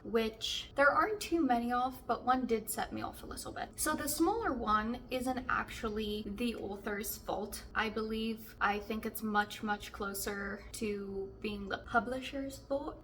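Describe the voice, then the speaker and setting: A woman's voice: a little high, a bit bright. A young South African woman with a South African accent reviewing a book in a casual chatty vlog, full of "like" fillers. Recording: distant mic with faint background hiss.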